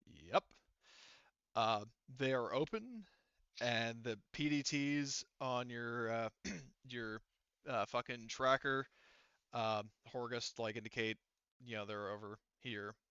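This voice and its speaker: A man narrating a tabletop game as game master, casually and haltingly, with informal, sweary phrasing. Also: mid-range voice, sharp tone, clear, resonant tone, no room sound, lo-fi sound.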